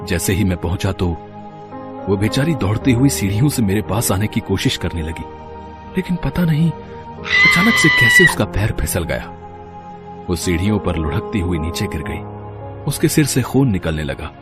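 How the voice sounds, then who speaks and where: A man acts out a wealthy, grieving father in an audio moral story. His voice is low and swings widely in pitch as he pleads earnestly and dramatically. Music plays underneath. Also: wildly swooping pitch, moderate pace. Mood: anguished recounting. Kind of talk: acted dialogue in a Hindi audio moral story.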